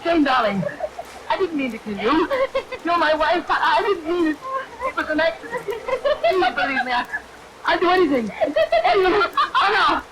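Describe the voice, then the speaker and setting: An actor playing a nervous, evasive man hiding guilt, halting and apologetic, in vintage radio thriller style. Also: very high-pitched, sing-song. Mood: screaming terror. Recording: vintage radio recording, hiss, rain behind.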